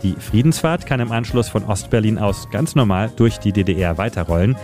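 A male radio narrator with a fairly low voice, delivering a scripted historical feature. Music plays clearly behind him as he tells the story. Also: fairly quick, a little mellow. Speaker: radio editor and city guide narrating a feature. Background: music bed under the voice.

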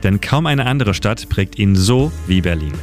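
A man's voice: lowish voice, animated, moderate pace. A man reading a scripted radio history piece as a storyteller, recorded in a studio with music behind him. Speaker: radio editor and city guide presenting a history segment. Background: music bed with guitar.

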